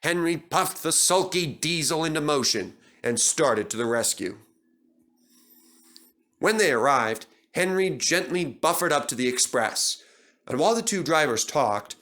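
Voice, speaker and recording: A man's voice: a little high, lively intonation, a little slow, fairly bright tone. A man narrating a children's story as a scripted reading.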